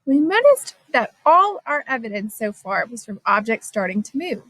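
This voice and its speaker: A woman reading a children's science story aloud as scripted narration, expressive and clearly phrased. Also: on the high side, moderate pace, no room sound, rough recording. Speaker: storybook reader.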